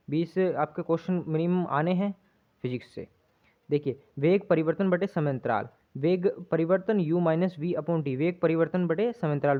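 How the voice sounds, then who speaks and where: A man giving an exam-prep lesson as a physics teacher, explaining step by step, repeating himself and checking in often. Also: high voice. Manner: repetitive, checks in often with tag questions. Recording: muffled, narrow lo-fi sound.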